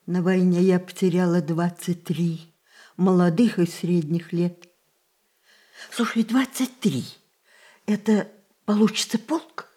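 An actress playing a spirited, imperious old woman in a radio play, coaxing and reminiscing in an expressive, theatrical way. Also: lowish voice, slow pace, pauses often, slightly rough. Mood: inquisitive. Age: older.

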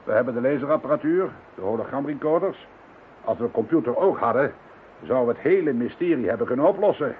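Man playing senior cop in radio drama, dry and formal, medium pitch, thin slightly noisy sound. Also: animated, quick pace, phone-quality sound, lightly acted. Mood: earnest, dry. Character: dryly humorous senior police officer, commanding yet kindly. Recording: thin radio recording with faint noise.

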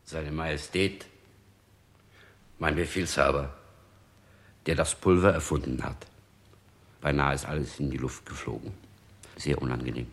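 Very deep, gravelly royal guard. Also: male voice, fairly even intonation, measured pace, long pauses. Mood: solemn, gloomy. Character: loyal royal guard, solemn, plodding, ponderous herald. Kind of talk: 1960s German radio play acting.

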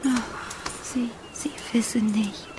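A woman's voice: fairly high pitch, steady tone, slow, background noise. A woman playing a melodramatic, despairing and seductive lady in a radio drama, pleading breathily and often half-whispering. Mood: anguished pleading.

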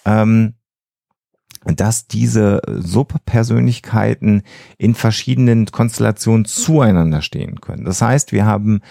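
A man explaining things as a podcast host in a halting way, with frequent hesitations. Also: lowish voice, creaky, dark tone, no room sound, studio quality. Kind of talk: explanatory science podcast talk.

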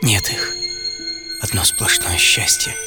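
A man telling a dramatized first-person story as a radio presenter, theatrically, savouring short phrases. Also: takes it slowly. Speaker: writer and presenter of the programme. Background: loud music bed.

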